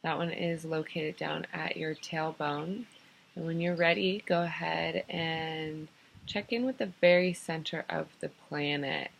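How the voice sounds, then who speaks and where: Low-pitched, gravelly woman guiding meditation. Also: fairly even intonation, a little hiss or hum, rough recording. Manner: unhurried, soothing, pausing between short phrases. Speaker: guided meditation teacher and host. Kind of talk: guided meditation, improvised gentle instruction.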